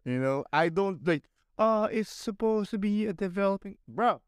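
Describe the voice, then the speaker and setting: A man's voice: high voice, lively intonation, moderate pace, clear, resonant tone, dry recording, studio quality. A young Filipino man with a Filipino accent, talking bluntly and animatedly on a casual podcast, swearing and mocking other people in put-on voices. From Philippines. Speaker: podcast guest.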